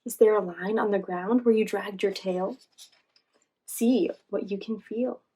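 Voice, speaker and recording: Woman as storybook friend, gentle, calm, caring, guiding delivery. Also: medium pitch, measured pace, husky, low-quality recording.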